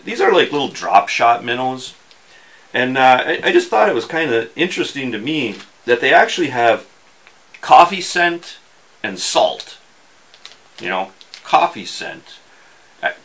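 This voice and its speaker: A middle-aged man talking casually to the camera as host of an outdoors channel, with a folksy, wry, halting delivery. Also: medium pitch, sing-song, bright, forceful tone. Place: small indoor workshop.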